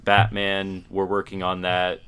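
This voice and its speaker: A man's voice: low-pitched, monotone, unhurried, a bit bright, smooth. A man co-hosting a podcast in casual chat, laid-back and colloquial, often hedging what he says. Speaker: podcast co-host.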